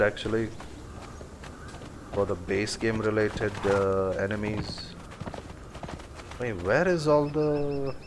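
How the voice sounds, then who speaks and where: A man's voice: medium pitch, noisy background. A man casually commenting on his game during a live stream, rambling along with many fillers. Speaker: gameplay streamer.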